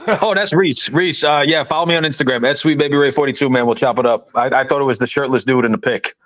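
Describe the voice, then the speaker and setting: A man's voice: mid-range voice, quick pace, no echo. Man in his late thirties telling stories. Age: 30s. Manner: storytelling with loud punchlines. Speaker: podcast host. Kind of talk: casual banter.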